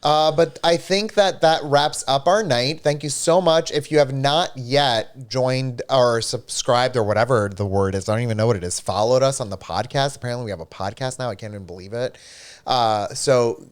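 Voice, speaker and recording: A man's voice: mid-range voice, normal speed, smooth. A man co-hosting a livestream, chatting casually with lots of fillers and an upbeat manner. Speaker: livestream co-host.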